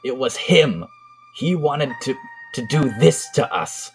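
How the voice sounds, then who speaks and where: A man's voice: mid-range voice, very animated, slow, soft background music. A man voicing a young skeleton brother in an emotional comic dub, bitter, fiercely protective and urgent. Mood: bitter, panicked. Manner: agitated, emphatic, urgent.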